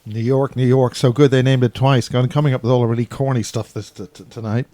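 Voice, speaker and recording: A man's voice: mid-range voice, steady tone, fast talker, mellow, dry recording. Jokey middle-aged male interviewer. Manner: jokey, stumbling, chatty. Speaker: interview host. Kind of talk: casual interview chat.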